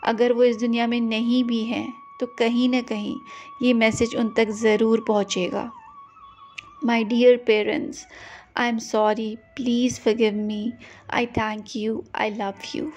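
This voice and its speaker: A woman leading a guided prayer meditation, speaking gently and repeating short phrases for the listener to echo. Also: on the high side, little pitch movement, soft background music. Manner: slow, gentle, repetitive phrasing.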